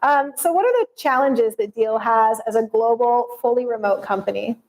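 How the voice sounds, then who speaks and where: A woman's voice: fairly high pitch, moderate pace, darkish tone, poor audio. A woman moderating a fireside chat, steering the talk with friendly questions.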